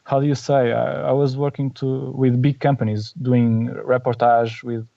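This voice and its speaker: A man's voice: medium pitch, little pitch movement, creaky, warm tone, far from the mic, muffled recording. A man from Portugal with a Portuguese accent, a photographer guest who often hunts for words and restarts his sentences. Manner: searching for words, halting restarts. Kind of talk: casual podcast interview.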